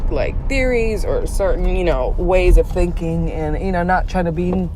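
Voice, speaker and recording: A young woman with a notably deep voice talks alone into the mic in a loose, profane ramble, breaking off and restarting her sentences as she laughs at herself. Spanish is her first language. Street and traffic noise sounds clearly behind her, as if she records outdoors. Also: moderate pace. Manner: rambling, profane, self-mocking, many restarts. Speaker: podcast host. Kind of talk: casual solo podcast monologue.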